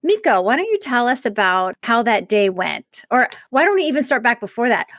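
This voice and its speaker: A woman's voice: a little high, very animated, a little fast, a bit bright, no room sound, studio quality. A woman hosting a podcast, speaking in a conversational presenter style. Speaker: podcast host. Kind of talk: host narration, ad reads and conversational interviewing.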